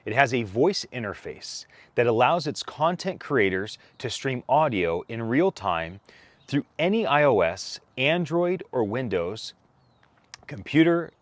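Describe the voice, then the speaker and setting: Man reading aloud with lively, swinging intonation. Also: medium pitch, a little slow, no echo. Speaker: co-author reading a whitepaper aloud. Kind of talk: read-aloud document narration.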